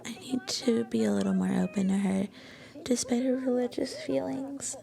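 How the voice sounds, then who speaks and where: Woman acting as a shy sweet college girlfriend, high soft breathy voice, mellow n a bit creaky, hesitant whispery asmr roleplay. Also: little pitch movement, normal speed. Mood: gentle, musing. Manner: hesitant, gentle, intimate. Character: shy, sweet, affectionate young Japanese university student girlfriend.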